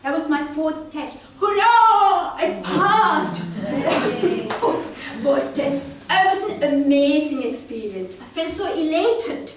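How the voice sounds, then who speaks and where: A woman's voice: high voice, animated, takes it slowly, few pauses. Woman, from Sri Lanka, prepared speech, earnest, self-deprecating, very echoey hall, faint background noise. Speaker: club speaker. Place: meeting room. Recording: roomy hall, mic at a distance.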